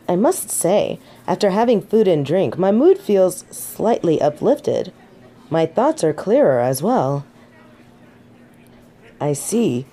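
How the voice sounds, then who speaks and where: A woman's voice: on the low side, warm tone. A woman playing a snobby, centuries-old fallen angel in denial, speaking in formal, pompous phrasing in a scripted audio roleplay. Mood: haughty, smug. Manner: formal, precise, pompous diction. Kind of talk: scripted character roleplay monologue.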